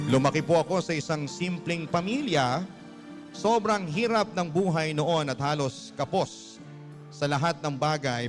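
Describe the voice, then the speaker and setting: A male radio host reads a letter as dramatic storytelling. His voice sits a little high for a man, and music plays clearly underneath. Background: background music.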